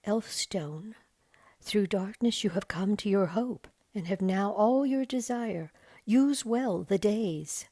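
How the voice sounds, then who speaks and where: A woman's voice: mid-range voice, takes it slowly, dark tone, dry recording, studio quality, voice pushed up. A woman voicing a solemn, gracious elven lady in a storybook, speaking formally and with lofty measure. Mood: gracious blessing.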